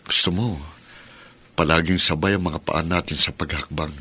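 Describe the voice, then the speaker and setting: A man's voice: low-pitched, steady tone, moderate pace, pushed and bright, husky, full character voice. An actor playing a devoted, easygoing young husband and father in a Tagalog radio drama. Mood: tender, agreeable.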